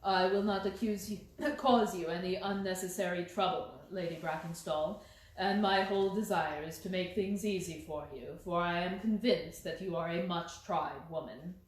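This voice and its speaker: A woman voicing a gentleman detective who is calm, courteous and firm, with a measured, grave and gently insistent manner. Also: on the low side, pitched below the performer's own voice, natural voice. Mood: gentle, reassuring. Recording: very echoey room.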